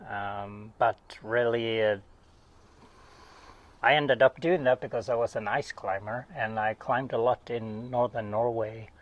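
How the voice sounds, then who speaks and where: A man's voice: mid-range voice, fairly even intonation, a little slow, muffled recording. A middle-aged man from Sweden with a Swedish accent, a guest oceanographer chatting casually and self-deprecatingly, recorded in a small cabin. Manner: rambling, self-deprecating, with laughs and restarts. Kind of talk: casual interview chat.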